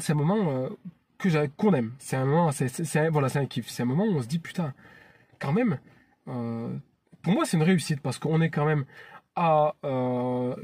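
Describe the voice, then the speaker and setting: Man in his 30s, mellow and slightly husky, talks to his channel in a casual, heartfelt way. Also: a little high. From France. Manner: earnest and chatty, often restarting phrases. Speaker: YouTube channel host. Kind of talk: casual vlog monologue.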